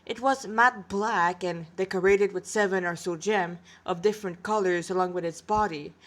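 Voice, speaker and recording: Congested woman reading a story aloud. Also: medium pitch. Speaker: narrator reading own fiction aloud. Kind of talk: amateur dramatic story reading.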